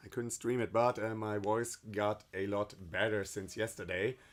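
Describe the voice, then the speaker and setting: A hoarse man casually commenting while playing a game on stream, rambling loosely and swearing now and then. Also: lowish voice, fairly even intonation, normal speed. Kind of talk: casual let's-play commentary.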